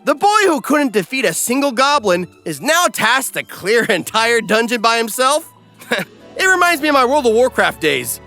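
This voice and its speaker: A man giving scripted comedic recap narration with a wisecracking, animated manner. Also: very high-pitched, normal speed, soft background music. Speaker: narrator, host.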